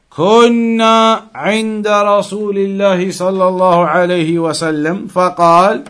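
A man's voice: high voice, a bit bright. A man giving a religious lesson as a teacher, moving between reciting quotations and explaining them.

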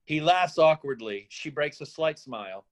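A man's voice: a little high, expressive, normal speed. A man reading the stage directions of a screenplay as scripted narration.

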